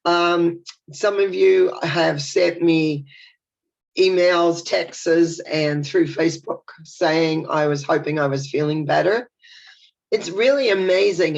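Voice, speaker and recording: A woman's voice: low voice, steady tone, takes it slowly, gravelly. An older woman speaking in a chatty, reflective video message, her voice nasal and congested from a head cold. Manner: chatty, warm and reflective, with rambling restarts. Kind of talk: casual spoken video message. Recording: dull lo-fi sound.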